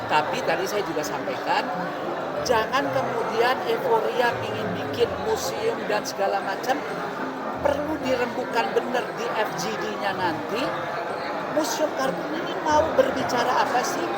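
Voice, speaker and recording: A man's voice: very high voice, animated, moderate pace, very noisy. A man giving emphatic, unscripted interview remarks as a politician. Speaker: member of parliament giving an interview. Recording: loud crowd and music in public space.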